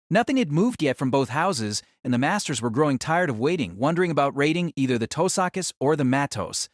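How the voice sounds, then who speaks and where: A man's voice: medium pitch, a bit bright, no room sound, clean studio sound. A man reading scripted dramatic story narration as the narrator of an audio drama.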